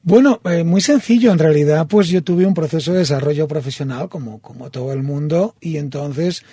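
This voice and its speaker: A man's voice: on the high side, brisk, warm tone, breathy edge, no room sound. A man with a Castilian Spanish accent, a radio interview guest in a studio booth, speaking fluently and evenly. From Spain, Madrid. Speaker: interview guest, coaching school president.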